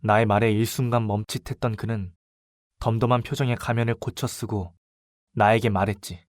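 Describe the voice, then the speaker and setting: A male actor playing a young student detective in an audio drama, speaking with polite, deliberate and dramatic composure. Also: fairly low pitch, fairly even intonation, dry recording, studio quality, natural voice. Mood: grave narrating. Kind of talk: audio drama acting with first-person narration.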